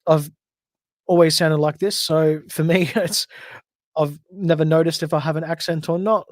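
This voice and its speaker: A man's voice: on the high side, fairly even intonation, moderate pace, darkish tone, no room sound. An Australian man hosting a solo podcast livestream, chatting casually and rambling with frequent self-corrections. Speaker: podcast host.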